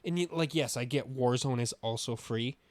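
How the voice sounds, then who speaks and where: A man's voice: mid-range voice, moderate pace, a little mellow, no echo. A man co-hosting a gaming podcast, talking casually and with strong opinions, swearing now and then. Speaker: podcast co-host.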